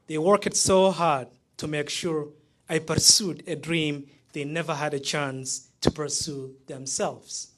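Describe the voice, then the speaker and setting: A man in his thirties from Somalia, speaking with a Somali accent as he gives a prepared, heartfelt graduation speech, pausing between phrases. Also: on the high side, steady tone, a bit bright. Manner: measured, heartfelt delivery with pauses between phrases.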